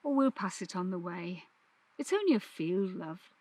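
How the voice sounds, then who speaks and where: A woman's voice: fairly low pitch, animated, moderate pace, a little mellow, dry recording. A woman voicing a kindly, practical father with a reassuring, matter-of-fact manner in a storybook reading. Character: kindly, practical father explaining things to his children.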